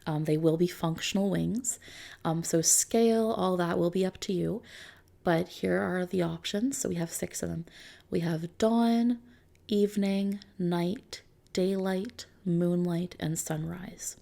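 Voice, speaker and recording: A soft-spoken woman doing an ASMR roleplay as a consultant, explaining options to a client in an unhurried manner. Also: lowish voice, vocal fry.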